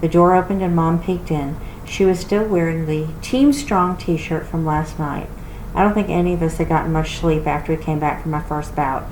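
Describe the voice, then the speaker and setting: Lady reading a kids book out loud, kinda low voice, roomy sound w some noise behind. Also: steady tone, moderate pace. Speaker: children's library read-aloud reader. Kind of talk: expressive storybook read-aloud. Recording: roomy echo with clear background noise.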